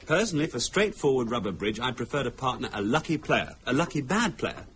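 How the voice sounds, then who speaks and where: An actor playing an affable, debating husband with an English accent in a British radio drama. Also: male voice, medium pitch, big pitch swings, fast talker, a bit bright, slight background noise. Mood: amiable. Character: genial, debating husband who loves old junk.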